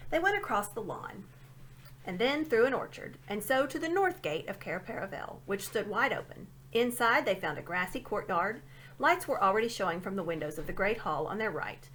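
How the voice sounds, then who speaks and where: Animated, bright-voiced woman reading a story. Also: medium pitch, a little hiss or hum, poor audio. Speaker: storybook reader. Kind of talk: expressive scripted read-aloud narration.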